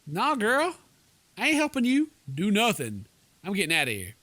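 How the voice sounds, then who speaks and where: A man's voice: sits high, huge pitch swings, moderate pace, sharp tone, no room sound. A man hosting a game playthrough, goofy and theatrical, mixing commentary with dramatic read-aloud narration. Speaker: gaming video host.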